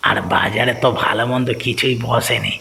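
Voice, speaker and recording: A man's voice: mid-range voice, fairly even intonation, normal speed, gravelly, bright, forceful tone, a little hiss or hum. A man voicing a chatty, concerned village friend who gives advice in a cartoon. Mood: friendly, advising. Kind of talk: Bengali cartoon voice acting.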